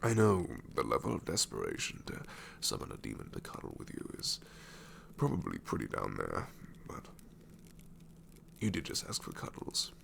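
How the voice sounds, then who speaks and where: A man's voice: wildly swooping pitch, moderate pace, a bit bright, slight background noise, heavily acted. A man playing an ancient, sassy shadow demon in an ASMR roleplay, wry and world-weary but tenderly reassuring, often slipping into a whisper. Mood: dry, musing. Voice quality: very deep, gravelly, often breaking into whisper.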